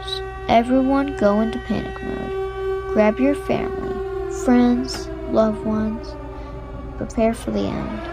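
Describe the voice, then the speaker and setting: A solemn emergency announcer, played by a woman, speaks very slowly and deliberately in a highish voice. The delivery is a grave doomsday broadcast, with loud music and sirens behind it. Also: steady tone.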